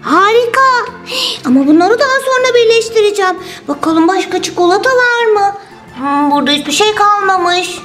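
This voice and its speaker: A woman's voice: very high voice, lively intonation, normal speed, fairly bright tone. A woman voicing a playful, greedy toy turtle in a children's toy story, exclamatory and sing-song. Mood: excited. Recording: roomy sound with music underneath.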